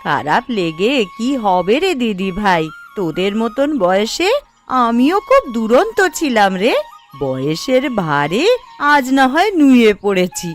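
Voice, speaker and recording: A woman voicing a kindly, tired elderly village grandmother in a cartoon, speaking affectionately and slowly. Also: medium pitch, big pitch swings, faint music behind. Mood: wistful, fond. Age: elderly figure. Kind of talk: Bengali family cartoon voice acting.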